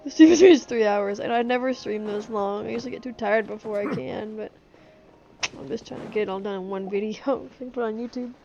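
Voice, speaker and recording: A woman's voice: fairly high pitch, fast, creaky. A woman streaming a game, reacting casually in a giggly, informal way. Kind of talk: casual live reaction commentary. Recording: dull, noisy stream microphone. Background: game audio and ambience.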